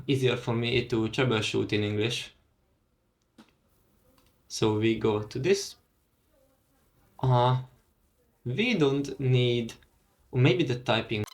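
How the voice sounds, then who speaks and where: A young man whose first language is Hungarian, casually thinking aloud with pauses and fillers as he walks through a computer setup. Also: medium pitch. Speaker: tech vlogger. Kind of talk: casual screen-recorded walkthrough.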